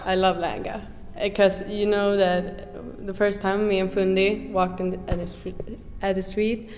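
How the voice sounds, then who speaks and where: An eighteen-year-old woman from Sweden with a Swedish accent, talking eagerly in quick bursts as an exchange student in a casual group chat. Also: mid-range voice, flat delivery. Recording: reverberant room, faint background noise.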